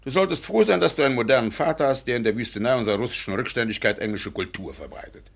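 A man's voice: medium pitch, animated, rapid-fire, vocal fry. An actor in a German radio play, playing a pompous, doting middle-aged Russian landowner and father who is effusive and easily indignant. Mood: pompous, complaining.

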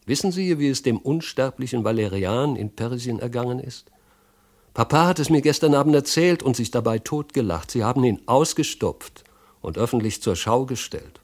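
A man's voice: mid-range voice, lively intonation, moderate pace, a little mellow. A man reading as a clever, spirited young princess. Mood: lively, amused. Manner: casual, finely ironic. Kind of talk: literary audiobook reading, 1970s radio.

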